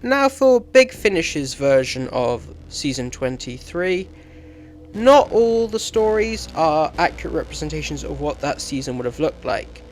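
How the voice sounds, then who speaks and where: A man's voice: fairly high pitch, big pitch swings, a little slow, a little hiss or hum. A man giving a scripted review as commentary. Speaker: video reviewer.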